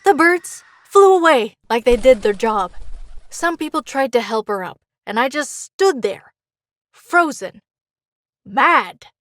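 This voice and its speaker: A woman's voice: high voice, animated, slow pace, no echo, clean studio sound. A woman playing a bitter, sardonic, brooding caller in an audio drama. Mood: bitter, frustrated. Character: bitter, annoyed female caller searching for meaning.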